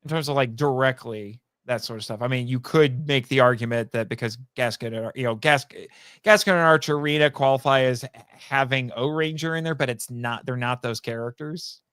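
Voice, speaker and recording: A man chatting casually as a podcast guest, animated, often interrupting himself and thinking out loud. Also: medium pitch, fairly quick, clear, resonant tone, no room sound, clean studio sound. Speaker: guest, audio drama writer and voice actor. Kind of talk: casual fan chat.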